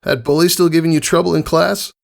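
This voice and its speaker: A man's voice: on the high side, fairly even intonation, fast, creaky, voice pushed up. A man voicing a brash, cocky female pegasus pony mentor in a storybook reading, with a casual, boastful way of talking. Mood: awkward, unsure. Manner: boastful, casual, hesitant small talk.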